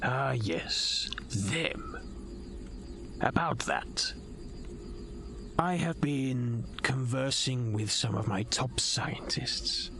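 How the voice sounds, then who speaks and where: A man playing a scheming, condescending nobleman in an audio drama, gravelly and whispery, with patronizing, theatrical delivery. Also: medium pitch, drawn-out words, long pauses, noisy background. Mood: silky menace. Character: scheming, condescending nobleman, silky villain plotting a coup.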